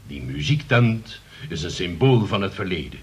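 An actor in a Dutch radio play playing a pompous, long-winded middle-aged uncle who moralizes about politics. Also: male voice, sits low, big pitch swings, moderate pace, breathy edge, dull audio, exaggerated voice. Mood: pompous, indignant.

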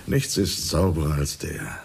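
An older actor playing a smug, corrupt police chief in a radio crime drama, gravelly and ironic. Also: male voice, very deep voice, big pitch swings, a little mellow, exaggerated voice. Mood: smugly ironic. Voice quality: gravelly, husky. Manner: unhurried, mock-idyllic, chuckling irony.

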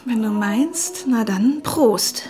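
An actress playing Effi, a concerned female friend who gently admonishes and keeps the peace in a radio drama. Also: on the high side, animated, slow pace. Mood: worried, wry. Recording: roomy echoing sound, music behind.

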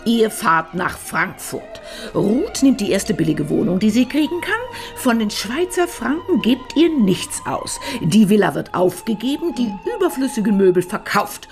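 Older matriarch, authoritative, brisk, gravelly edge, lively pitch, protective, faint music. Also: female voice. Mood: decisive, brisk. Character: commanding, sharp-witted older matriarch, protective and decisive. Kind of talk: German radio crime drama acting.

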